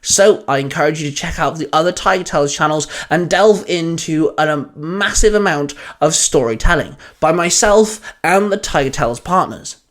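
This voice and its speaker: Male YouTube story-channel host, pitch a little above average, presenting an outro. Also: normal speed, few pauses, a bit bright. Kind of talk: YouTube outro address to listeners.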